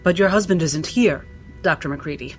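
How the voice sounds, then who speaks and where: A woman's voice: deep voice, moderate pace, faint music behind, close to an everyday voice. A woman playing a coldly probing interrogator in a 1960s-set drama, formal, pointed and pressing. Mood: accusing. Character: relentless, coldly probing female interrogator questioning a doctor. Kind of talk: 1960s cinematic drama acting.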